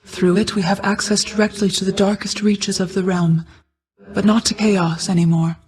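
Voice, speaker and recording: A woman voicing an ancient goddess of night in a video game, a solemn, caring mother who speaks in a formal, measured, reserved way. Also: mid-range voice, little pitch movement, darkish tone, lightly acted. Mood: grave, pensive. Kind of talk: video game character dialogue.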